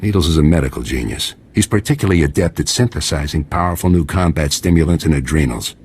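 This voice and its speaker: A commanding officer of an elite game squad, very low in pitch. He speaks with assured formality and a hearty, welcoming manner. He sounds used to being in charge. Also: animated, quick pace, darkish tone, husky, heavily acted. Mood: welcoming, proud. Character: confident, welcoming male special forces commander. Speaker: video game character. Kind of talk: video game voice acting.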